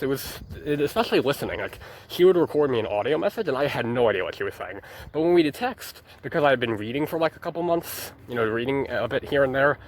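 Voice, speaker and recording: Young adult male vlogger, casual rambling delivery, mid pitch, faint background noise. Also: poor audio. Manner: rambling, hesitant, many restarts and fillers. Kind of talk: rambling journal vlog.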